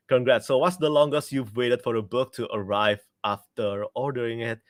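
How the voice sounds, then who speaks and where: A man's voice: mid-range voice, measured pace, no echo, clean studio sound. A young man with an Indonesian accent, chatting casually on a livestream as a book reviewer, laughing often and restarting his sentences.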